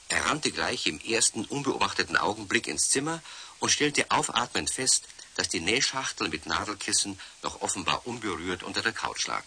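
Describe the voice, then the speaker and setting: Casting: male narrator for a children's radio story, low voice, very bright crisp edge, faint tape hiss.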